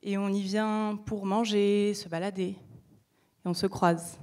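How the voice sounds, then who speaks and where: A young woman founder giving a prepared stage talk, measured, with rhetorical questions and light humor. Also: mid-range voice, fairly even intonation, darkish tone. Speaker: project founder presenting.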